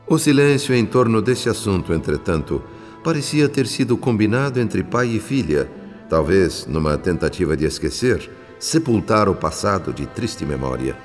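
An actor with a Brazilian accent playing a solemn spirit guardian who narrates a radio drama in formal, measured, literary diction. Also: male voice, deep voice, sing-song. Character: solemn male spirit guardian recounting a family's troubles. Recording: long reverb, music bed behind. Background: music.

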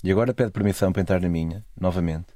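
A man's voice: low voice, rapid-fire, warm tone, no room sound, studio quality. A man with a European Portuguese accent narrating a scripted, literary first-person travel story. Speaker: narrator of own travel stories.

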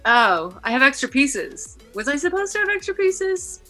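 A middle-aged woman from Canada, a guest chatting casually on a video call podcast in a lively, animated way. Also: high-pitched, normal speed, faint music behind. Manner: lively, laughing, animated storyteller. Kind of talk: casual interview chat.

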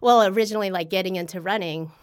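A woman's voice: mid-range voice, fast talker, clear, resonant tone. A woman in her fifties, a podcast guest in casual chat, who laughs often and jokes about herself. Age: 50s. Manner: laughs often, self-deprecating.

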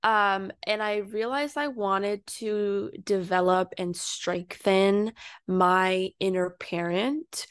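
Slow, soft-spoken woman podcaster. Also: mid-range voice, smooth, no room sound, studio quality. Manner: soft, unhurried, with fillers and restarts. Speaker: podcast host. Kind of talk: reflective solo podcast monologue.

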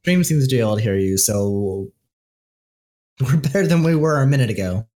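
A man's voice: medium pitch, animated, moderate pace, mellow. A man co-hosting a casual gaming podcast over a voice call, making dry, understated quips. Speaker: podcast co-host. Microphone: computer mic on voice call.

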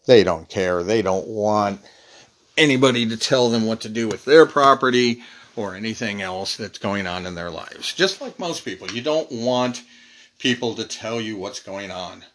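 Wry rambling man, lowish voice. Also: expressive, normal speed, a bit bright, a little hiss or hum. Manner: rambling, wry, self-deprecating. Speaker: podcast host. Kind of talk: casual solo monologue.

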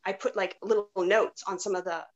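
A woman's voice: medium pitch, steady tone, fairly quick, muffled recording. A woman chatting casually as a podcast panelist, rambling along and peppering her sentences with "like". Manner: rambling, frequent "like". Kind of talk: casual chat.

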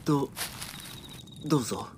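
An actor playing a considerate, anxious young man, gentle and hesitant, in a radio drama. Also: moderate pace, slight background noise, natural voice.

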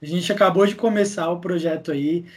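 Brazilian dude on a podcast as guest, slightly high voice, casual chat. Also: male voice, a little fast, a loud crowd. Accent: Brazilian Portuguese. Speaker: podcast guest, audiodrama creator.

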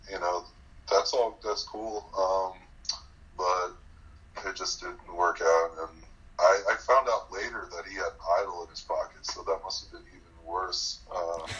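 Loud excitable young man, lo-fi audio. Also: low-pitched. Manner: loud, excitable, laughing, rambling with fillers. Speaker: eliminated contestant guest. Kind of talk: casual interview chat.

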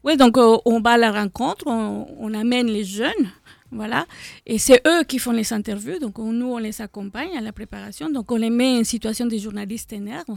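A woman's voice: on the high side, moderate pace, creaky, darkish tone, no echo. A middle-aged woman from Mexico with a Mexican Spanish accent, a guest in a live radio studio interview, talking hesitantly with many fillers. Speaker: guest, association co-founder.

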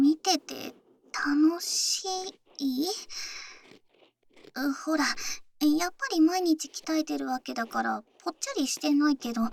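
A woman's voice: sits high, a little hiss or hum. A woman playing a young, shy and affectionate athletic girlfriend in an intimate ASMR voice drama, murmuring hesitantly and slipping into whispers, close to the microphone. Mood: bashful, flustered. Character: bashful, affectionate young athletic girlfriend pampering her coach boyfriend. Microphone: close microphone, ASMR style.

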